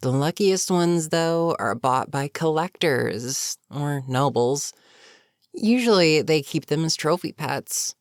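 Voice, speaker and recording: A voice actor playing a bitter, menacing goblin captain in a fantasy audio roleplay, speaking to a captive with sardonic, unhurried menace. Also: medium pitch, animated, no echo, studio quality. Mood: smug, matter-of-fact. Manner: sardonic, unhurried, matter-of-fact threats. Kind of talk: scripted fantasy audio roleplay, addressing the listener.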